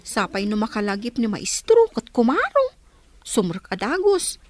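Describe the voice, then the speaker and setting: A squeaky, chirpy schoolgirl. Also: huge pitch swings, moderate pace. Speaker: radio drama actress.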